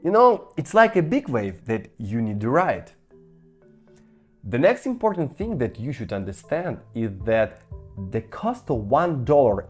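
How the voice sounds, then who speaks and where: A woman with a low voice and a Ukrainian accent presents a scripted business lesson. Her delivery swings widely in pitch as she stresses key points, while the tone stays dark and soft-edged. Faint music plays underneath. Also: husky. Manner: emphatic, persuasive, addressing the viewer directly. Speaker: startup founder, business course presenter. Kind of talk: scripted master-class sales presentation.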